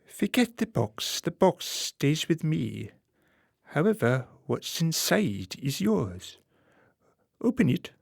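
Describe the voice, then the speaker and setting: A man as a slow, creaky, suave schemer. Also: a little high, very animated, no room sound, studio quality, full character voice. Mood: cold, instructing. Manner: formal, measured, deliberate phrasing. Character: suave, calculating male political fixer, courteous but menacing. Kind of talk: radio play acting.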